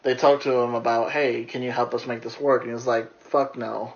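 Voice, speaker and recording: A man's voice: medium pitch, level tone, fairly quick, slight background noise. A man chatting casually as he reviews and recaps a movie, speaking informally and bluntly with plenty of swearing. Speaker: film reviewer, host. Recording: dull lo-fi microphone sound.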